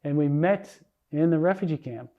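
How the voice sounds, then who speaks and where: A man's voice: a little high, moderate pace, narrow, tinny audio. An older man from the United States giving an earnest sermon testimony, telling his story with frequent restarts. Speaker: preacher.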